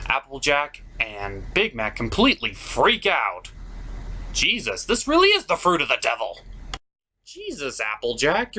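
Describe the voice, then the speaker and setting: Male host for scripted comic review narration, theatrical and exclamatory, with lively swings in pitch.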